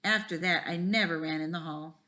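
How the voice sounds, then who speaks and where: A woman's voice: on the low side, fairly quick, pushed and bright. A woman voicing a friendly, easygoing male computer teacher in a storybook, with a folksy, explanatory way of talking. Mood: reminiscing.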